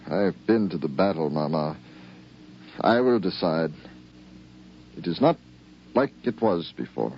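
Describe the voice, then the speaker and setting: Brooding young soldier, deep, swooping delivery. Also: male voice, expressive. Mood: resolute. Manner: brooding, earnest, halting. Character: troubled young soldier son home from battle. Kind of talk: 1950s radio drama acting. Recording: dull, noisy 1950s radio recording.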